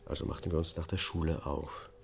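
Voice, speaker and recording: An actor playing a subdued, haunted man on trial for killing his family, testifying in a measured, matter-of-fact way in a radio drama. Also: a bass voice, level tone, creaky, faint music behind.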